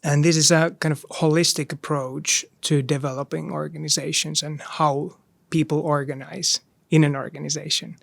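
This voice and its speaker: Gravelly man explaining his business. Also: fairly high pitch, little pitch movement, normal speed, a bit bright, no echo. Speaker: startup CEO guest. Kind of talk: podcast introduction.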